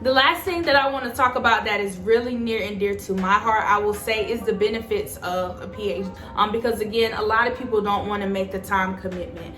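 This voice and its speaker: A woman's voice: medium pitch, fairly quick, few pauses, a bit bright, echoey room, soft background music. A woman in her twenties giving casual, emphatic advice to her viewers. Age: 20s. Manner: chatty, emphatic, addresses viewers as y'all. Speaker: vlogger. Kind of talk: casual advice chat to camera.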